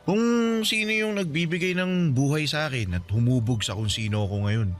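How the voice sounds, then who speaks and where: A man acts as a devoted young son in a radio drama. He is respectful and earnest, quick to get defensive, with a mid-pitched voice over faint music. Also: close to an everyday voice. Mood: fond, earnest. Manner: respectful, addressing his mother politely. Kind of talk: Filipino radio drama acting.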